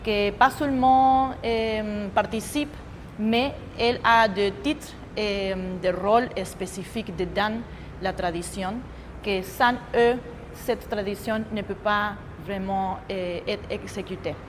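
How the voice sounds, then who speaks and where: A woman from Argentina speaking French as a second language, a choreographer talking about her project in a halting way, often pausing to search for words. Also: medium pitch, steady tone, long reverb tail, slight background noise. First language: Spanish. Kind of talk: interview testimony.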